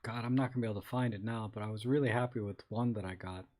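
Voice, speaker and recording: A man hosting a casual live-streamed photography Q&A, reading viewer comments aloud and answering in a rambling, relaxed way with many restarts. His voice is a little scratchy today. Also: fairly low pitch, very fast. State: slightly scratchy voice.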